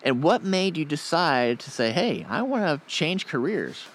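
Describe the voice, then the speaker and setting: A man's voice: a little high, big pitch swings, a little slow, a bit bright, rough recording. A man interviewing a coding school graduate, enthusiastic and encouraging. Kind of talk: upbeat interview.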